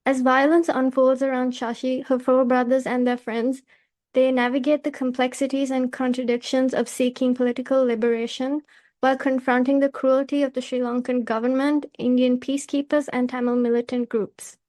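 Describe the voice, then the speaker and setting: Female literary podcast host, high voice with very flat intonation, formal and measured, scripted read. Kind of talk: scripted podcast introduction and questions.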